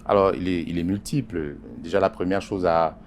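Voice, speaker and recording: A man, a journalist, answering interview questions in a halting way with many pauses and repeated words. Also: low-pitched, slight background noise. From Congo Basin. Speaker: journalist and editorial writer.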